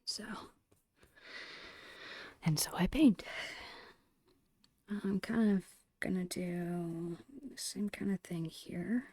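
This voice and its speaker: A woman's voice: on the low side, very slow, long pauses, warm tone, no room sound. A woman whispering in an ASMR style, casually thinking aloud in short phrases as she narrates her own digital painting. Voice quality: breathy whisper.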